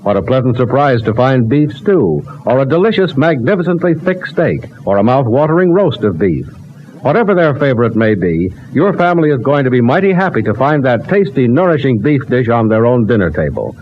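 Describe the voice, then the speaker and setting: A man working as a radio announcer, delivering scripted vintage narration and sponsor pitches with polished, emphatic salesmanship. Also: big pitch swings, fairly quick. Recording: dull, noisy vintage radio recording.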